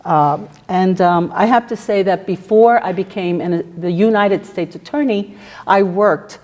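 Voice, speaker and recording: A middle-aged woman whose first language is Spanish, giving a prepared speech to a campus audience in a measured, formal way with frequent "uh" fillers. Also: medium pitch, darkish tone. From New York City. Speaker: guest speaker, federal prosecutor.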